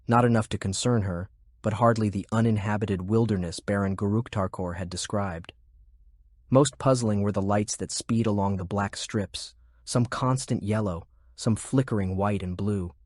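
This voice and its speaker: A man reading scripted fiction as an audiobook narrator in a measured, descriptive manner. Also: fairly low pitch, a little mellow, no room sound, clean studio sound.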